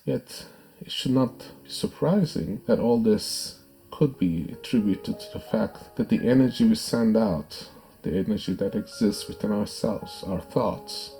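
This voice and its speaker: A man narrating a guided meditation in a measured, soothing, instructive way. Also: on the low side, warm tone. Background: background music.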